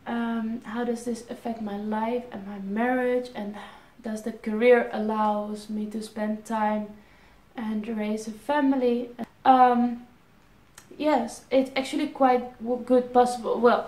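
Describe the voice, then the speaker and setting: Dutch accent, young woman, slightly high voice, reads questions then answers, echoey room. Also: a little mellow. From Netherlands. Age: 20s. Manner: reads questions aloud, answers with ums and restarts. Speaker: airline pilot vlogger. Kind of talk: casual Q&A vlog.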